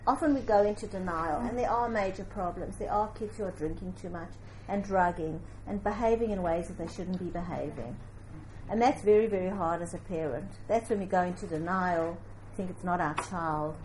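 A woman's voice: fairly low pitch, normal speed, darkish tone, slight background noise, rough recording. A middle-aged woman with a South African accent, speaking emphatically and directly in an instructive motivational talk with storytelling. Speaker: parenting speaker.

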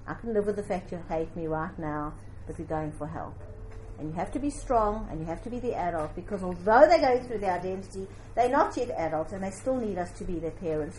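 A woman's voice: medium pitch, a little mellow, slight background noise, low-quality recording. A middle-aged woman with a South African accent, speaking emphatically and directly in an instructive motivational talk with storytelling. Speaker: parenting speaker.

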